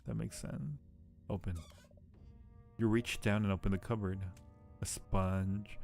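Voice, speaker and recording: Gravelly, slightly low-voiced male game streamer. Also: steady tone, unhurried, a little mellow. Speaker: livestream host. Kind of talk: casual game stream, reading text aloud and chatting. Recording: music behind the voice. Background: music.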